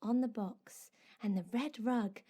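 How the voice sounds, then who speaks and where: A woman's voice: fairly high pitch, measured pace, dark tone, husky. A woman playing a curious, eager young girl in an audio drama, asking short, insistent questions and echoing what she hears. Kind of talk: naturalistic audio drama acting.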